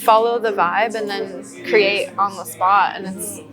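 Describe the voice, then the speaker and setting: A woman's voice: medium pitch, fairly even intonation, a little slow, low-quality recording. A woman chatting casually and informally as an interview guest, with a bubbly manner. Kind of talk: casual chat. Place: art gallery reception. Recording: music behind the voice.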